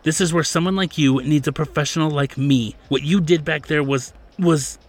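A man's voice: a little high, normal speed, pitched above the performer's own voice, close to an everyday voice. A man voicing a stern, formal middle-aged male manager who lectures earnestly and insists on proper manners. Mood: scolding. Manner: lectures earnestly, addresses him as sir.

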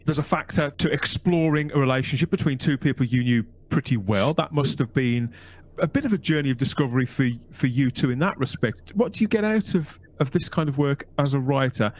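A man's voice: medium pitch, a little fast, slight background noise. Inquisitive male podcast host. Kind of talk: interview questions.

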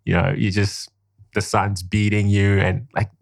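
Deep-voiced man chatting casually. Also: level tone, a little slow, vocal fry, no echo, clean studio sound. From Liberia, raised in Guinea. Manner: rambling, many fillers, laughs while telling stories. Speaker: podcast guest. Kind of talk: casual podcast conversation.

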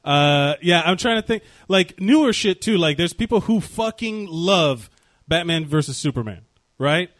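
A man with a high-pitched voice co-hosting a loose podcast. He rambles, swears freely and stumbles through restarts. The sound is close and dry, with no room around it. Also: expressive, normal speed, a bit bright, no echo. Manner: rambling, profane, lots of fillers and restarts. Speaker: podcast co-host. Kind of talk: casual podcast banter.

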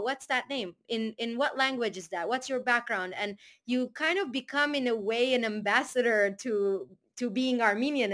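Young woman guest from Syria, higher voice, reflective and rambling, for an interview. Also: fairly bright tone. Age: young adult. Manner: thoughtful, rambling with restarts. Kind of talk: casual interview answers.

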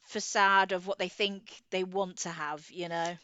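A woman's voice: fairly low pitch, fairly even intonation, a little slow, sharp tone, clean tone, dry recording, lo-fi sound. A woman co-hosting a podcast in a casual, rambling, enthusiastic chat, giving recommendations. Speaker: podcast co-host.

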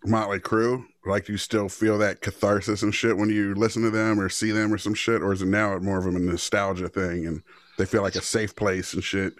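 A man's voice: on the low side, little pitch movement, brisk, dry recording. A man in his forties chatting casually in an irreverent, slangy style full of swearing. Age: 40s. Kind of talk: casual chat.

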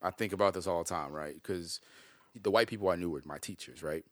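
A man in his thirties hosting a conversational interview, asking questions and reflecting in a relaxed way, often tagging "right?". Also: deep voice, a little fast, no echo, studio quality. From United States. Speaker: interview host.

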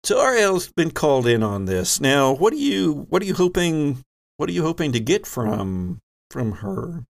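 Man, game master, creaky voice, lively swooping pitch, dramatic storytelling pauses. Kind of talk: narrating a tabletop game podcast.

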